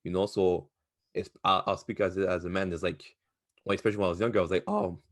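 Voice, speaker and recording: A man's voice: low-pitched, steady tone, fast talker. A man in his twenties co-hosting a podcast, giving reflective advice in casual, slangy talk. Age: 20s. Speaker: podcast co-host. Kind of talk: casual opinionated discussion.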